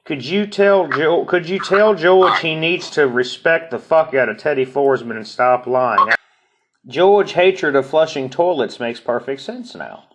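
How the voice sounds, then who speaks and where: A man's voice: on the high side, a little slow, fairly bright tone. A man with a mocking manner gives an informal dramatic reading of online comments.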